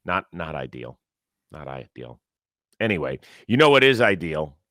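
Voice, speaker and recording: A man hosting a casual sports talk show, a little hoarse this morning, talking in an animated, energetic way and reading sponsor copy with energy. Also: very deep voice, moderate pace, no echo, clean studio sound. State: a little hoarse from lack of sleep. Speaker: host.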